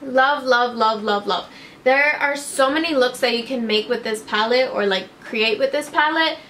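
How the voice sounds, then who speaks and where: A woman's voice: fairly high pitch, moderate pace, a bit bright, a little hiss or hum. A woman in her twenties reviewing makeup to camera in a casual, gushing way, saying "like" often, in a small room. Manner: gushing, upbeat, heavy use of "like" and "obsessed". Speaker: beauty vlogger. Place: small indoor room with string lights.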